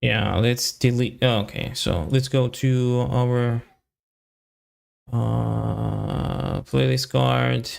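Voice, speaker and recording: A man talks to himself during a casual coding stream. His voice is heavily creaky, full of vocal fry, at a middling pitch. He goes slowly, muttering in short, hesitant fragments as he thinks aloud. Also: fairly even intonation, darkish tone. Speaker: developer live-streaming coding.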